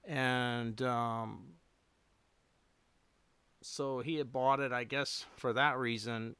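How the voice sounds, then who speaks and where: A man chatting casually and unscripted as a hobbyist livestream host, rambling a little and thinking aloud in halting phrases. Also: mid-range voice, fairly even intonation, pushed and bright, smooth, no room sound. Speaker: radio repair hobbyist hosting a livestream.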